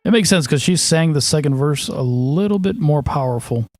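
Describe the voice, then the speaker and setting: A man's voice: fairly high pitch, expressive, normal speed, a little mellow, a little hiss or hum. A man casually talking through a song mix as he works, thinking aloud in a loose, offhand way with lots of fillers. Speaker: mixing engineer. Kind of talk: casual walkthrough of mixing a song.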